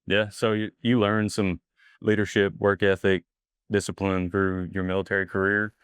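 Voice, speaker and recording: A man hosting a podcast interview, relaxed and asking questions with short friendly prompts. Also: deep voice, moderate pace, clear, resonant tone, dry recording, clean studio sound. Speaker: podcast host. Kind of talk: casual interview. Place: office in the state capitol.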